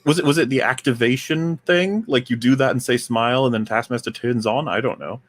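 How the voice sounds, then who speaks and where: A man's voice: mid-range voice, a little fast. A man on a panel, discussing films in a casual, conversational way. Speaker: podcast guest or panelist.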